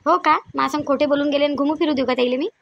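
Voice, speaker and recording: A woman's voice: high voice, steady tone, rapid-fire, vocal fry, a bit bright, no room sound, dull audio. A woman playing a stern, bossy mother-in-law in a family drama, scolding insistently in a Varhadi Marathi accent. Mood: indignant. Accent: Varhadi Marathi of Vidarbha. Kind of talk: amateur family comedy-drama dialogue.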